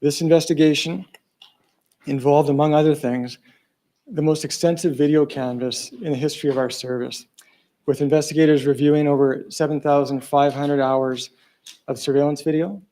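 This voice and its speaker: A soft-spoken man speaking hesitantly, with many pauses and fillers, as a police investigator giving a statement at a press conference in a large room. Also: on the high side, steady tone.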